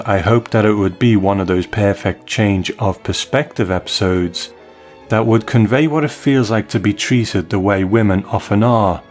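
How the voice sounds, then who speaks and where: A man with a fairly low voice reads listener mail as a podcast host. His delivery is measured, and music plays behind him. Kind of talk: reading out listener messages.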